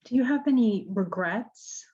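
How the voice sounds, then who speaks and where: A woman's voice: a little high, expressive, measured pace, mellow, lo-fi sound. A woman playing a restrained questioner in a radio drama, asking in a hesitant, probing way. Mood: cautious, probing.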